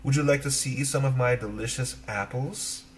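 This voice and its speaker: A man's voice: medium pitch, normal speed, slight background noise, voice pushed up, close to an everyday voice. A man voicing a wicked queen disguised as a sly old beggar woman in a storybook, coaxing and falsely sweet. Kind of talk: storybook character voice.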